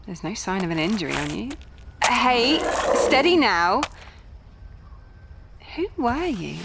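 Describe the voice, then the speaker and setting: An actress playing a young, sardonic Victorian nurse with a wry, chatty manner in an audio drama. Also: female voice, mid-range voice, sing-song, gravelly, very noisy, exaggerated voice. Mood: curious, gentle. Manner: wry, conversational, quick to grumble.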